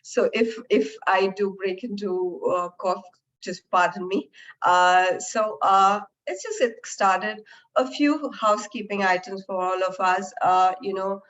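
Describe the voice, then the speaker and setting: A woman moderating and opening a professional webinar, her voice lowered from a recent flu, speaking in a friendly way with frequent "uh" fillers. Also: steady tone, a little slow, a bit bright, dull audio. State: recovering from flu, deeper voice.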